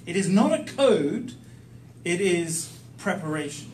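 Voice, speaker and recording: Higher-voiced male preacher in an echoey room. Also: animated, takes it slowly. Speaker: guest preacher teaching the Bible. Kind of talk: preaching in short phrases for an interpreter. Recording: echoey room, distant mic, faint noise.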